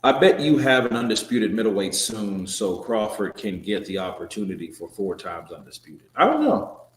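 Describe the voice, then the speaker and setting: A man with a lowish voice hosts a live boxing stream and chats through viewer comments. His talk is loose and joking, with swearing and restarts. The sound carries a roomy echo. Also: very animated, normal speed.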